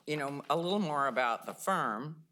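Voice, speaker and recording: An older woman with a loud voice on a review committee, thinking aloud and asking probing questions in a casual meeting. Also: low voice, lively intonation, normal speed.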